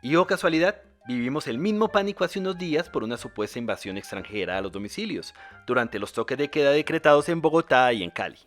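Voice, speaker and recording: A man with a Colombian accent hosts a podcast in scripted narration. His pitch is medium, and light music plays underneath. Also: big pitch swings, fast talker, fairly bright tone. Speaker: podcast host.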